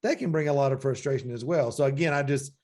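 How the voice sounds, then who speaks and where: A man's voice: mid-range voice, quick pace, a little mellow. Reflective man in his forties, host. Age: 40s. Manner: reflective, heavy use of "you know". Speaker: podcast host. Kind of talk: faith-based conversational interview.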